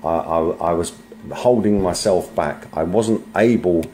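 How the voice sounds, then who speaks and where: Man, very low pitch, hesitant rambling monologue, amateur video, slight noise. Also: warm tone. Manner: halting, hesitant. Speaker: online video maker. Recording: noisy amateur video playback.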